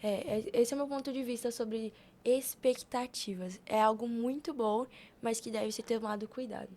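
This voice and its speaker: A young woman talks in a high voice, as a guest in a casual conversation. She is earnest and colloquial, with a motivational bent, and often repeats phrases to drive a point home. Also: steady tone, normal speed, dark tone. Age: young adult. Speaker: podcast guest.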